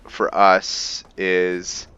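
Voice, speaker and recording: A man with a deep voice narrates his game role-play casually. He speaks very slowly, musing aloud as "we". The recording is a little dull. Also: monotone, pushed and bright, smooth. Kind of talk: casual playthrough commentary.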